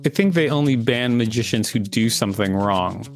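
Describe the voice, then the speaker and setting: A man's voice: mid-range voice, expressive, moderate pace. Man co-hosting a podcast, music underneath. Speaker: podcast co-host. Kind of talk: reading an encyclopedia article aloud with casual banter.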